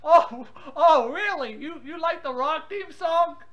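A woman's voice: sits high, expressive, slow, a bit bright. A woman chatting casually on a phone call in an exclamatory, incredulous manner. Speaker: prank call participant.

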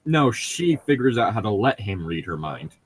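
A man's voice: on the low side, very animated, normal speed, a little hiss or hum. A man giving casual, critical commentary as a podcast co-host, explaining his points as he goes. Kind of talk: casual commentary on a book being read aloud.

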